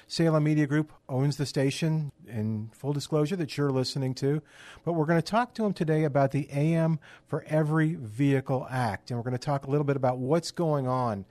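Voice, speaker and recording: A man's voice: fairly high pitch, a little fast, darkish tone, no echo. A man hosting a radio show, talking in an informal, conversational way with loose run-on phrases.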